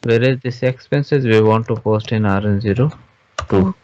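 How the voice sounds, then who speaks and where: A man teaching software step by step in an informal screen-share tutorial, halting and often checking understanding with questions. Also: on the low side, slight background noise. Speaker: SAP software trainer. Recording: dull, lo-fi online class audio.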